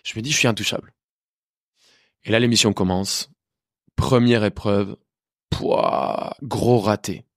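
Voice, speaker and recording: Man, 30s, faint colombian accent, lower voice, lively storytelling, restarts a lot, dry close sound. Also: a little slow, gravelly, pauses often, no room sound. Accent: Colombian Spanish, faint. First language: Spanish. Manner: lively, many restarts and hesitations. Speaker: chef guest. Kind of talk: candid storytelling conversation.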